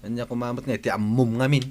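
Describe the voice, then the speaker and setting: A man's voice: mid-range voice, flat delivery, fairly quick, darkish tone, close to an everyday voice. A man with an Ilocano accent playing an irritable, stubborn farmer husband and father in a radio drama. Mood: dismissive. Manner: irritable, snappish, dismissive.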